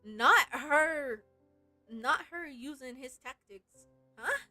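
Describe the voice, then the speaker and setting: A woman game streamer chatting casually and reacting in an animated, giggly way. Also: sits high, takes it slowly, dry recording, soft background music. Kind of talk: casual livestream chat with read-aloud game lines.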